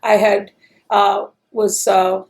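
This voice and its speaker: A woman's voice: mid-range voice, little pitch movement, slow, darkish tone. A woman from El Paso, Texas, talking in an oral history interview in a chatty, rambling way, full of restarts.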